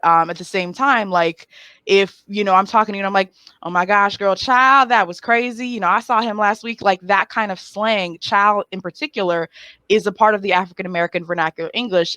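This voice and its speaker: A woman comedian born in Haiti, chatting as a podcast guest in an animated, joking way with many "um"s. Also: medium pitch, no room sound. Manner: animated, joking, lots of fillers like "um". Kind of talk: casual interview chat.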